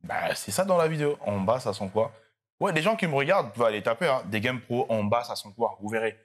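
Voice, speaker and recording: A man's voice: mid-range voice, quick pace. A young man from DR Congo with a Congolese French accent, a content creator chatting easily as an interview guest while he searches for his words. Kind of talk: casual interview chat.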